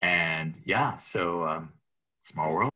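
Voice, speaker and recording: A man's voice: sits low, drawn-out words, sharp tone. A middle-aged man from Minnesota who grew up speaking Greek, chatting as an interview guest, rambling with restarts and chuckles. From Minnesota, USA. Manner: rambling, restarts, chuckles while telling stories. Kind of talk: casual interview chat.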